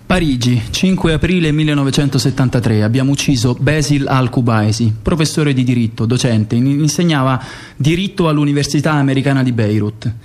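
An actor playing a self-justifying secret agent in radio theatre, with clipped, declarative testimony. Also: male voice, mid-range voice, brisk, a little mellow, close to an everyday voice. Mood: cold, factual.

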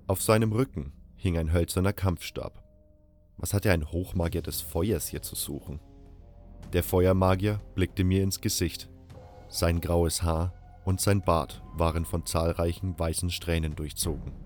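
A man's voice: low-pitched, darkish tone. A man narrating a story in the first person, in a dramatic style.

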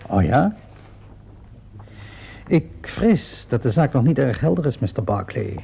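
Casting: courteous but persistent inspector, husky mid-range voice, measured questioning, dull old radio recording. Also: male voice, medium pitch, big pitch swings, warm tone, exaggerated voice. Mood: probing. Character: polite, persistent, composed male police inspector. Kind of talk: radio play acting.

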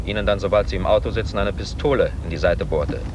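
An actor in a German radio play playing a composed, dryly defiant priest who speaks in a measured, probing way. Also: male voice, lightly acted. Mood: dryly indignant. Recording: noisy radio drama with vehicle rumble.

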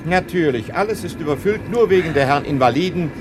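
A man playing a spiteful, haughty bourgeois gentleman in a 1960s German radio play, declaiming accusations. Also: fairly high pitch, animated, quick pace, noisy background, close to an everyday voice. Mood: scornful. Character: pompous, spiteful bourgeois gentleman denouncing invalids. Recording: vintage radio play, music and street sounds.

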